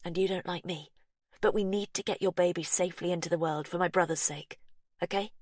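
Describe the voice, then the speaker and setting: A woman's voice: on the low side, steady tone, a little fast, a little mellow, no room sound, clean studio sound, close to an everyday voice. A woman voicing a blunt young woman giving urgent, clipped orders. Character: tense young woman, blunt and trying to stay calm.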